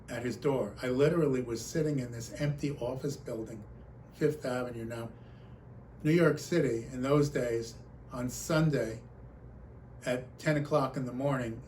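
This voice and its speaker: A man giving a talk as a personal storyteller, recounting remembered exchanges in an animated manner. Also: medium pitch, a little hiss or hum. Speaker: conference speaker.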